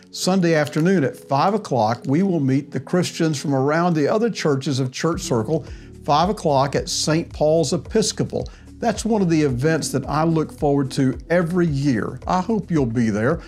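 A man in his sixties speaks as a pastor to his congregation, with a voice pitched a bit high for a man. He talks to camera from a small office, close on a lapel mic, with faint music underneath. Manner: warm pastoral address to camera, ending in prayer. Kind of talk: weekly church update to the congregation. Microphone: clip-on lapel mic.

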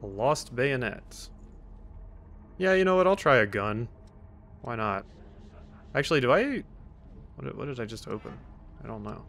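A man with a mid-pitched voice gives casual commentary as a let's play host. He is wry and teasing, often talking back to the game's characters. Faint game noise sits behind him. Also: sing-song, moderate pace, pauses often, fairly bright tone. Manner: reads on-screen text aloud. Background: video game sounds.

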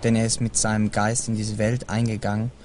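Low, even-toned man reciting over clear noise. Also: fairly quick. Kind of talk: solemn recitation of archaic philosophical-religious prose.

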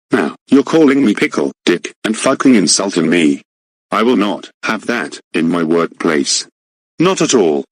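A man voicing a hot-tempered, rich boss who swears and shouts indignantly in amateur voice acting. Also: deep voice, slightly rough, no room sound, exaggerated voice.

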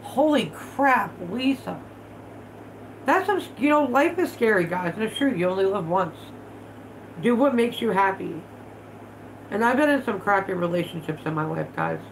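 A woman's voice: mid-range voice, animated, normal speed, a little hiss or hum, poor audio. A woman in her thirties hosting a casual livestream at home, stuffed up from allergies, chatting bluntly and swearing freely while she works with her hands. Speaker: vlog host. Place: living room at home, indoors.

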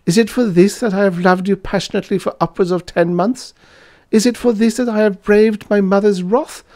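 A gushing, lovesick young maiden played by a male reader pleads in a very high voice. She is melodramatic and overwrought, swooping up and down like a storybook heroine. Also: big pitch swings, moderate pace, mellow. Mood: tearful reproach.

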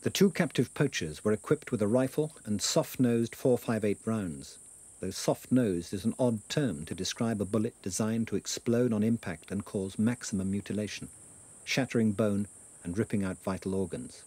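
A man narrating a documentary in a measured, grave voice. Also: fairly low pitch, darkish tone, husky.

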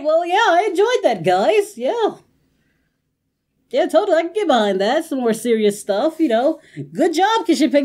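A woman hosts a reaction video with casual, off-the-cuff commentary. Her pitch moves around in an animated way, and she tends to exclaim and say phrases over again. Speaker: host of a reaction channel.

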